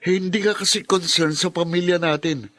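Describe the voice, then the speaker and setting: Bitter Filipino younger brother, higher male voice. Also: steady tone, moderate pace, fairly bright tone, no room sound, clean studio sound. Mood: accusing, resentful. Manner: confrontational. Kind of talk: Filipino radio drama acting.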